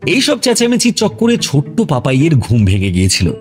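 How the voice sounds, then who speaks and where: A man narrating a scripted audio story in an expressive storytelling style. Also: a little high, fairly quick, soft background music.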